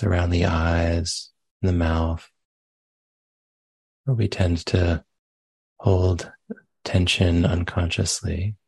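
A man leading a guided meditation as a teacher, speaking gently and unhurriedly with long pauses. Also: low-pitched, gravelly, husky, no room sound.

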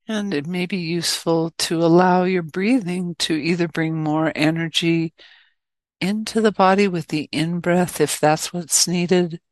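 A woman leading a guided loving-kindness meditation as a teacher, speaking gently and in measured phrases with long pauses. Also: fairly low pitch, steady tone, dry recording.

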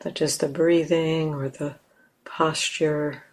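A woman leading a guided meditation as a teacher, speaking gently and leaving long pauses between short phrases. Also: sits low, level tone.

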